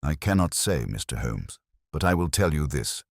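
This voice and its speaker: A man voicing an earnest, worried gentleman client giving a formal, emphatic account in a Victorian detective audiobook. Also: extremely low pitch, big pitch swings, normal speed, no echo, studio quality, full character voice. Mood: grave, confiding.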